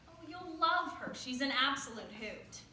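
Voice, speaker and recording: A woman plays an upbeat, sassy young matchmaker in a stage play. Her voice is high and bright, perky and teasing. The room around it rings with heavy echo. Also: lively intonation, moderate pace, heavily acted. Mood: eager, pushy. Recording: very echoey room, faint noise.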